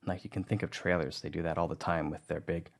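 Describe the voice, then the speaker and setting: A man narrating a music-editing tutorial in a relaxed, conversational way. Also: low voice, quick pace, gravelly. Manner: relaxed, conversational, thinking aloud.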